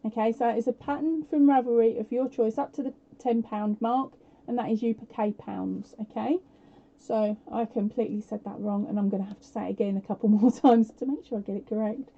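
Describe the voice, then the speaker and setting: A chatty woman hosts a casual live stream, giving out prizes with plenty of fillers and a self-mocking tone. Her voice is a touch croaky, pitched a little high and very mellow. The audio is dull and lo-fi. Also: fairly even intonation, fairly quick. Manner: chatty and self-deprecating, with frequent fillers and laughs. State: slightly croaky voice. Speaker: podcast host running a live prize quiz.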